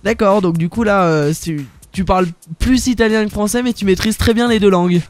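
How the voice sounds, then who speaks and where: A man's voice: sits high, fairly quick, soft background music. A young man hosting a radio interview, talking casually with many fillers and restarts. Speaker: radio host.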